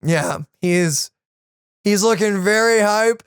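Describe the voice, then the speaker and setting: A young adult hosting a reaction video with excitable casual commentary full of gamer slang. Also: mid-range voice, slow, clean tone, no echo, studio quality.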